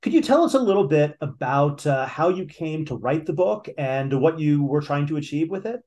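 A man interviewing an author, asking questions in a halting way. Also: fairly high pitch, lively intonation, a little mellow, muffled recording. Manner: halting, stumbles over words.

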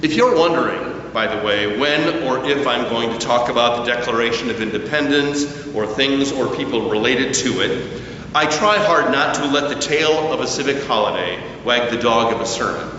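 A man preaching a sermon at a slow, deliberate pace, his voice rising and falling with emphasis. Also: mid-range voice, background noise. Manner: slow, deliberate preaching with lively rises and falls. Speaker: pastor. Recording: echoey church hall, distant mic, dull sound.

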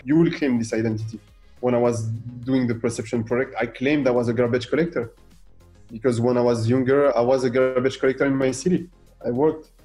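A middle-aged man with a French accent, a guest artist in a radio interview, talking animatedly, firing rhetorical questions and acting out what others said. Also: medium pitch. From France. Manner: animated, fires rhetorical questions, acts out quoted voices.

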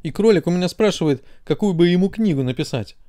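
A man's voice: high voice, lively intonation, quick pace, dark tone, no echo, clean studio sound. A man voicing a fretful, bossy talking brain in a children's story. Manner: fretful, commanding. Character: fussy bossy brain, male, anxious.

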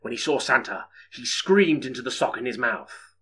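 A man's voice: medium pitch, expressive, moderate pace, fairly bright tone, a little hiss or hum. A man narrating an audiobook story in a dramatic, scripted storytelling style.

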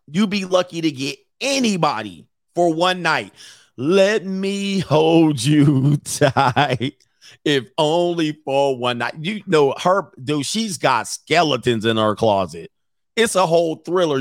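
A man in his forties with a gravelly, slightly high voice, roasting clips in brash, slangy commentary. He laughs often and keeps his delivery loose and mocking. The sound is dry and close. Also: slow pace, no room sound. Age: 40s. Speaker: commentary host.

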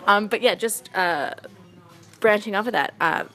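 A woman's voice: mid-range voice, unhurried, crowd noise. A woman, a comedian talking earnestly in a casual podcast chat, with many fillers. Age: 20s. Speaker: guest, comedian.

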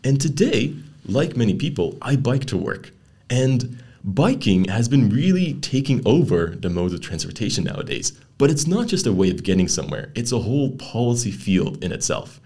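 A man's voice: mid-range voice, sing-song, moderate pace, dark tone, breathy edge. A young man, hosting a casual podcast interview with chatty, playful asides. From Netherlands. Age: young adult. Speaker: podcast host.

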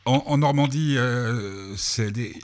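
A man's voice: mid-range voice, slow pace. A man hosting a casual radio interview, teasing his guests and rambling with frequent repetitions. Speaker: radio host.

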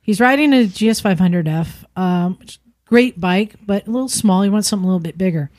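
A woman's voice: medium pitch, expressive, a little fast, darkish tone, dry recording, studio quality. A woman co-hosting a casual motorcycle podcast, giving advice and steering the others. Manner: bossy, directs the others to read. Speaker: podcast co-host.

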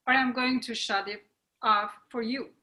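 A woman's voice: on the high side, steady tone, normal speed, pushed and bright. A woman playing a stern police officer giving firm orders in a screenplay reading. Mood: insistent. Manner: firm, commanding. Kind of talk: screenplay table read.

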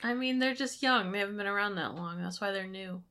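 A woman's voice: medium pitch, brisk, a loud crowd. A woman co-hosting a podcast in casual, joking banter. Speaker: podcast co-host.

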